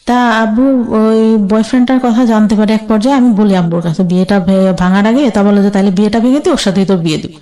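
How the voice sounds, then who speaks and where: A woman's voice: mid-range voice, fast talker, warm tone. A woman with a Bangladeshi accent telling her life story as a guest in conversation, colloquially recounting who said what. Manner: recounts quoted exchanges in colloquial speech.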